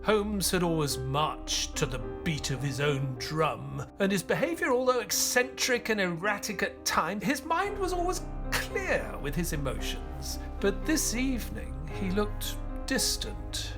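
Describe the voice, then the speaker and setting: A man acting an earnest doctor and loyal companion in an audio play, speaking in a measured, sometimes hesitant way. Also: high-pitched, very animated, over music, full character voice. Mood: reflective, sombre. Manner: measured, hesitant, stammering in dialogue. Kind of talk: audio play acting and first-person narration.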